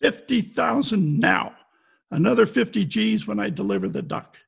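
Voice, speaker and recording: A man playing a cynical, tough-talking private detective in a wry, deadpan 1940s radio style. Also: high voice, moderate pace, gravelly, husky, full character voice. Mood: cold, hard bargaining. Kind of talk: 1940s detective radio acting, narration and dialogue. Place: home, recorded over video call. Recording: video call recording with faint music behind.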